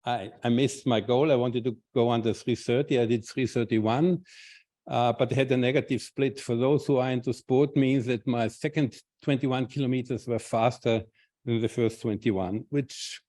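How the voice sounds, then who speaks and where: Halting Austrian man giving a talk. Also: mid-range voice, fairly even intonation, warm tone. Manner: halting, many restarts and repeated words. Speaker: invited speaker, clinician researcher. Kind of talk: conference talk with slides.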